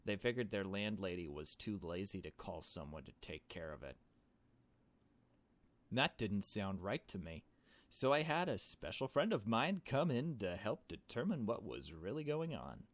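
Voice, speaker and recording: Low-pitched man reading a game story. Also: sing-song, measured pace. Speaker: video game player reading aloud. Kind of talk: dramatic read-aloud narration of visual novel, with commentary.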